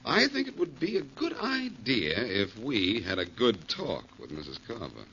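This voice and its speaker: A wry, confident detective from a 1940s radio drama. His low voice swings widely in pitch as he needles people, on a dull recording with light noise. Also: huge pitch swings, moderate pace. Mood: wry, probing. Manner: breezy, needling banter. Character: wry, confident amateur detective, adult man.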